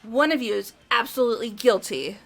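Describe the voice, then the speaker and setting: A woman's voice: fairly high pitch, a little fast, creaky, soft background music. A woman voicing a blunt, hot-headed young female athlete who insists on her accusations. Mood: accusing. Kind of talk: fan voice acting of a game.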